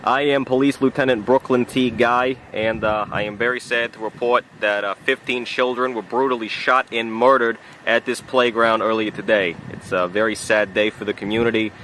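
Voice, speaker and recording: A man playing a nervous, earnest official who gives a halting, stammering press conference in a comedy skit. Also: mid-range voice, fairly even intonation, pushed and bright, slight background noise, close to an everyday voice. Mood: solemn. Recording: roomy echo with faint music.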